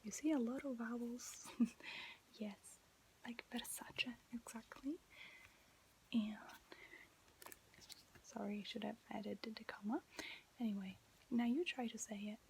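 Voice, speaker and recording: A woman with an Italian accent gives an informal, gentle language lesson in a breathy whisper, pausing as she explains. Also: fairly high pitch, fairly even intonation, a little hiss or hum, rough recording. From Italy. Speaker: language teacher.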